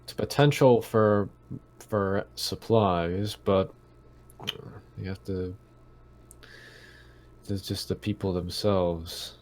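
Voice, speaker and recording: A man's voice: deep voice, takes it slowly, pauses often, a little mellow. A man speaking hesitantly and without much emphasis as a player in a tabletop roleplaying game. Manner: hesitant, understated. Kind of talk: casual tabletop roleplay chat.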